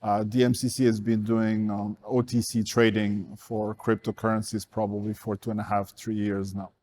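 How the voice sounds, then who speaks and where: A man from Portugal, a guest in an interview, speaking in a measured way with frequent fillers. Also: lowish voice, level tone, darkish tone, slight background noise. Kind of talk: casual interview talk.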